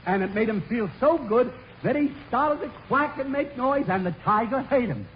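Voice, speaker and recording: An actor playing a silly, exasperated prison warden in a 1930s radio comedy, clownish and given to muttered sarcastic asides. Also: male voice, very high voice, animated, moderate pace. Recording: muffled, noisy 1930s radio broadcast.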